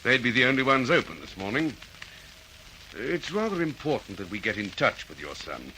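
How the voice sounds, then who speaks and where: An actor playing a methodical, courteous police detective in an Australian accent, in classic radio drama style. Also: male voice, medium pitch, sing-song, moderate pace, sharp tone, slight background noise, poor audio. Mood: polite, reassuring.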